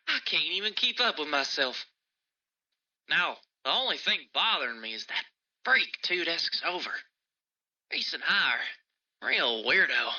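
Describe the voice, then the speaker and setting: Brash animator, excitable, lively swings, sharp tone; lo-fi game tape. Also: male voice, sits high, heavily acted. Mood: elated. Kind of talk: audio log in a cartoon horror video game.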